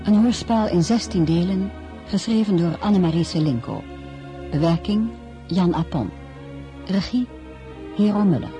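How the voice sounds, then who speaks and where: A woman reads a scripted radio drama introduction as the announcer. Her voice sits on the low side, with music clearly behind it. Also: lively intonation, moderate pace, long pauses.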